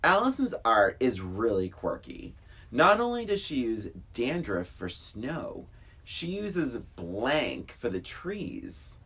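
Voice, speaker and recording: Animated man bantering, wide swings in pitch. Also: takes it slowly, breathy edge. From Brockton, United States. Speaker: podcast co-host. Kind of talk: casual chat with playful game hosting.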